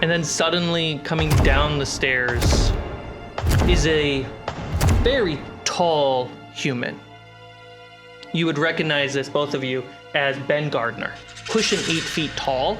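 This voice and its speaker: Guy running a dnd game, slow suspenseful storytelling, short phrases, music under it. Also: fairly high pitch. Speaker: game master. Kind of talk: dramatic tabletop game narration. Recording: music behind the voice.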